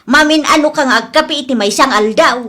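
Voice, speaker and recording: A woman playing an exasperated wife with a sharp tongue in a comedy drama, scolding in colloquial speech. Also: sits high, fast talker. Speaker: actress in an Ilocano audio drama.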